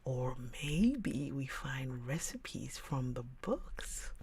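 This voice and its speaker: A woman hosting a livestream, chatting casually with viewers in a rambling way and often dropping into a soft whisper. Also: very deep voice, very animated, very slow, slight background noise. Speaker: livestream host reading books. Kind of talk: casual chat with live viewers.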